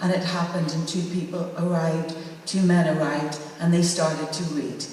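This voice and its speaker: A woman's voice: sits low, fairly even intonation, normal speed, a little mellow. A woman talking informally on a discussion panel, repeating phrases for emphasis. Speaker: panel speaker. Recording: very echoey hall, far mic, faint noise.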